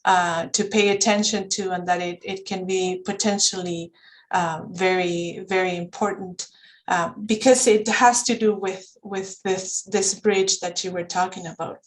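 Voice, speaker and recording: A woman's voice: on the low side, steady tone, unhurried, low-quality recording. A woman who speaks Spanish as her first language, talking as a counselor on a panel in a thoughtful way, often searching for words and restarting. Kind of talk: reflective conversational talk. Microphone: video call.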